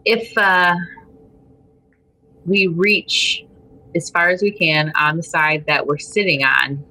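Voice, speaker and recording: A woman's voice: on the low side, steady tone, takes it slowly, sharp tone, low-quality recording. A woman chatting casually as a player in an interactive fiction game, playful and a little bossy. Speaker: podcast guest playing a text adventure.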